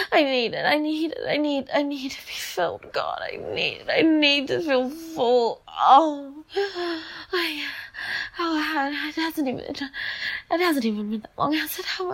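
A woman in bed at night, exhausted, talking in a breathy, whispery, trembling voice that breaks into moans. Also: sits high, a bit bright. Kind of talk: intimate erotic audio monologue.

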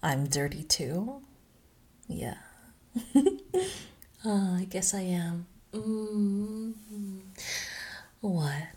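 A young woman speaking in a breathy, intimate whisper with soft sighs, close to the microphone. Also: mid-range voice, drawn-out words, pauses often. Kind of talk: whispered audio roleplay.

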